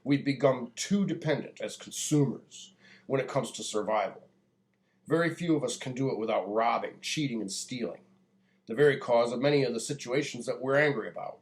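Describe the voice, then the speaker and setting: An American man speaks as if giving a public address. His delivery is deliberate and declarative, with an earnest, preachy tone suited to a prepared monologue. His voice sits at a middling pitch. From United States.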